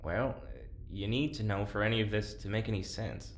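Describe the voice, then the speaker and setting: A man playing a weary, wry patient in an audio drama, talking in a hesitant, halting way. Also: fairly low pitch, echoey room, a little hiss or hum, natural voice. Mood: uneasy, insistent. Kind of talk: naturalistic audio drama acting.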